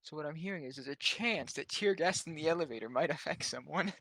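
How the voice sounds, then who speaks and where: A man's voice: on the high side, lively intonation, fast, a bit bright. A man chatting casually as a player in a tabletop game. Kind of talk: casual chat.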